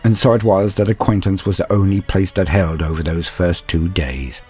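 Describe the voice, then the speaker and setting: A man with a deep voice reads a scripted dramatic story. His delivery is grave, measured and theatrical. Soft music plays faintly behind him. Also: steady tone, husky. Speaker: narrator.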